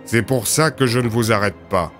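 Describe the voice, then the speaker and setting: A man's voice: mid-range voice, moderate pace, a bit bright, faint music behind, close to an everyday voice. Man playing a stern, blunt lawman. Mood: stern, warning. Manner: dry, firm, formal.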